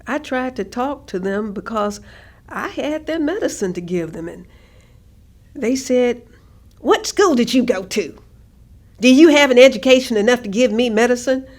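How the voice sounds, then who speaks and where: A woman performing a staged monologue as a proud, defiant working mother, drawing out her words for deliberate emphasis. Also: on the high side, expressive, a little mellow. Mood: indignant, weary. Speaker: stage actress performing oral history. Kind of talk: dramatic monologue, staged reading.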